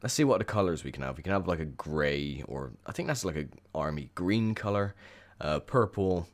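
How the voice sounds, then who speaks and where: A young man with a croaky, sore voice, chatting casually through gameplay commentary with lots of ums. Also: deep voice, animated, normal speed. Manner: chatty, rambling with many ums. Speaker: gaming video host.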